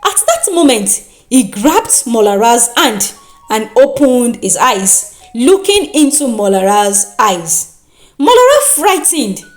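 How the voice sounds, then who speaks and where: A woman with a Nigerian accent narrating a folktale in a dramatic, expressive and deliberate storytelling manner. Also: a little high, soft background music. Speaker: storyteller and host.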